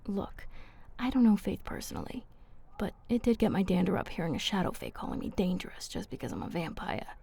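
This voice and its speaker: A woman voicing a flirty, protective female vampire in a breathy, husky, intimate ASMR roleplay. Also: lowish voice, huge pitch swings, brisk, mellow, exaggerated voice. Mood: concerned, indignant. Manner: intimate, coaxing, casual slangy speech.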